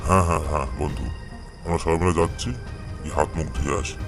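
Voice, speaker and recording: A man voicing a gruff, gullible, quick-tempered male tiger in a cartoon animal fable, with a heavy voice. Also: a bass voice, fairly even intonation, over music. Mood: eager.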